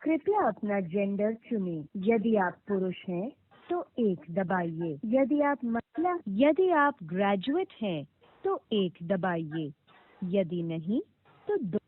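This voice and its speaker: A woman reading scripted automated phone prompts with formal, clearly enunciated instructions, heard over a narrow phone line. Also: medium pitch, sing-song, takes it slowly, dry recording. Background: played back from a recorded call.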